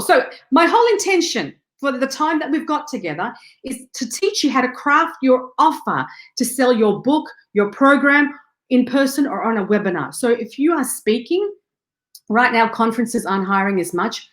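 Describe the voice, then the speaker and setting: A woman's voice: on the high side, reverberant. A young adult woman from Afghanistan, now working in Australia, giving an online training on speaking and sales with punchy, motivational energy. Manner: upbeat, punchy motivational delivery with rallying catchphrases.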